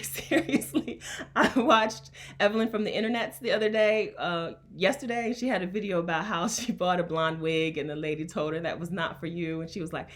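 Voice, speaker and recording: A woman from Delaware, a coach hosting a casual livestream and talking to her chat in an emphatic, chatty way, laughing often. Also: medium pitch. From Delaware, USA. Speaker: coach and host.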